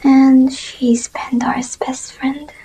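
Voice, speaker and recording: A woman acting a judgmental, reserved young student with a measured, dismissive manner in an amateur voiced roleplay. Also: high-pitched, little pitch movement, dark tone, slightly rough, exaggerated voice, rough recording.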